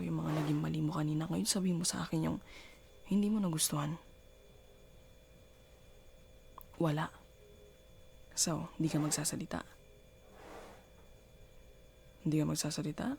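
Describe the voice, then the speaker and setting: A woman's voice: deep voice, dark tone, slight background noise. A woman with a Filipino accent playing a jealous, domineering girlfriend in an intimate ASMR roleplay, questioning and commanding in mixed Tagalog and English. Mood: stern, threatening. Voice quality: husky, breathy. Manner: slow deliberate questioning, commanding, switching between English and Tagalog. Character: jealous, domineering girlfriend scolding her partner.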